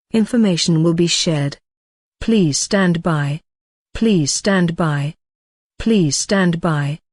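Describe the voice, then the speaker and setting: A synthetic voice reading a scripted emergency alert in a flat, formal, measured way. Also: medium pitch, no room sound, studio quality. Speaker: emergency broadcast announcer.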